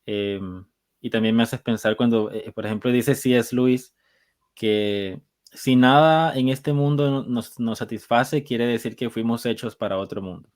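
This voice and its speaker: A male podcast host speaks in a reflective, searching way, often pausing on fillers as he frames his questions. His voice sits at a middle pitch for a man. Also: darkish tone. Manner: thoughtful, searching for words. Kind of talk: conversational interview.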